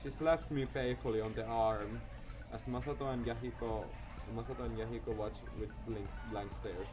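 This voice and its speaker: A man's voice: mid-range voice, steady tone, normal speed. A man reading a visual novel aloud as a let's player, with amateur dramatic narration. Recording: loud music behind the voice.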